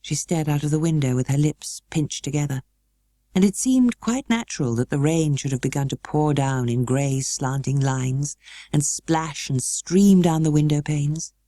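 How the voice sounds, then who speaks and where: A British woman reading a storybook as narrator, in a measured and expressive way. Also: sits low, no room sound, studio quality. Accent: British English. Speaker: audiobook narrator.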